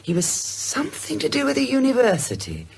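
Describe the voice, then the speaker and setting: A woman's voice: sits high, wildly swooping pitch, a little fast, vocal fry, darkish tone, slightly rough, heavily acted. An actress playing an inquisitive, decisive married woman in a British radio drama, speaking in a musing, emphatic way. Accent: British English.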